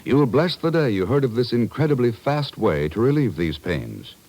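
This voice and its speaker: A man announces in the style of a 1950s radio commercial. His mid-pitched voice rises and falls widely. The delivery is polished, scripted and emphatic. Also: very animated, moderate pace, a little mellow, poor audio.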